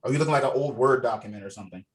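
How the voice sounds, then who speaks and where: A man's voice: mid-range voice, very fast. A man leading a script read over a video call, talking casually and encouragingly and reading out scene directions. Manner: encouraging, informal. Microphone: video call microphone.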